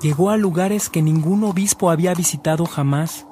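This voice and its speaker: A man's voice: a little high, fairly quick, mellow, a little hiss or hum, close to an everyday voice. A man playing the solemn storyteller of a radio drama about a saint, reading scripted narration. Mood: solemn, admiring.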